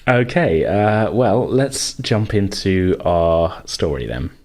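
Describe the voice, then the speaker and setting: Low voiced guy game mastering a tabletop rpg podcast, measured delivery, music playing behind him. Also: lively intonation, darkish tone. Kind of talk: narrating a tabletop roleplaying game.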